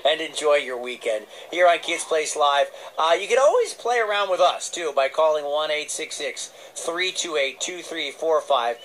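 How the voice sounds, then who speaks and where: A man's voice: fairly high pitch, expressive, normal speed, few pauses, fairly bright tone. A man hosting a children's radio show in a casual, playful way. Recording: radio played from tablet, some noise.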